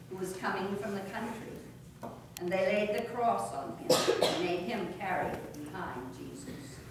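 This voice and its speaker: A woman narrating a scripture reading during a church service in a measured, formal way. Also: fairly low pitch. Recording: echoey church, distant mic, background noise.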